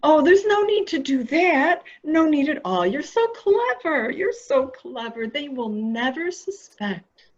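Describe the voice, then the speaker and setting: A woman's voice: sits high, unhurried, few pauses. A woman playing a coaxing, anxious character who humors a madman in a theatrical murder mystery drama, recorded with muffled sound. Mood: nervous, flattering. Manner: soft questioning, flattering, theatrical. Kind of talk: amateur murder mystery audio drama acting.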